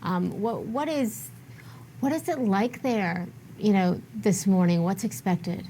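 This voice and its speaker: Female anchor, mellow mid-range voice, broadcast news delivery with a slight background hum. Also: medium pitch, slow pace, poor audio.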